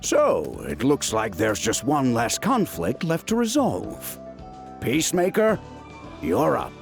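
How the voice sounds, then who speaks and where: Fussing father with very animated pitch. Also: slow, vocal fry, soft background music, full character voice. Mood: playful. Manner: expressive, fussing, chiding. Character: fretful, doting middle-aged father, scolding but affectionate. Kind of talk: video game voice acting.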